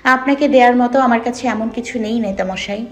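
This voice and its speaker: A woman playing a young wife in a romantic audio story, speaking quietly and plaintively. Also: on the high side, fairly quick, lightly acted. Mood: hurt. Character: young wife, hurt and sulking, shy. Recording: soft background music.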